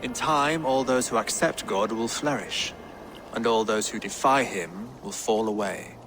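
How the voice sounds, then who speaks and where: An actor playing a pious, serene deposed English king in a video game, speaking formally and with measured solemnity in an English accent. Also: medium pitch, noisy background, close to an everyday voice. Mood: devout, resolute. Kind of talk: dramatic video game acting. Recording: game audio with music underneath. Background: music.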